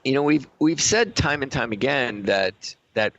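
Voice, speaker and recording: A man's voice: medium pitch, animated, unhurried, fairly bright tone, highs cut off. A man hosting a radio show in a conversational style.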